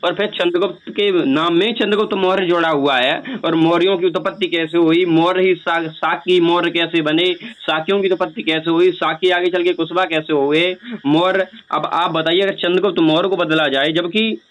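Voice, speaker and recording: A man speaks on a recorded phone call in a fairly high voice. He argues insistently, explaining like a lecturer and firing rhetorical questions to press his point. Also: steady tone, normal speed, hardly any pauses, a bit bright. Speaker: speaker who performs religious stories on stage, on a call. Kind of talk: argumentative phone conversation.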